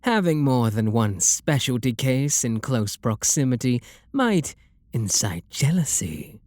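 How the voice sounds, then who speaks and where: A man acts out a wealthy male vampire lord for an ASMR roleplay, with a British accent and courteous, possessive air. He speaks slowly and softly, with lively swings in pitch. The sound is dry and close, every phrase genteel and deliberate. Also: no echo. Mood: possessive, adoring. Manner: soft, deliberate, genteel, flirtatious.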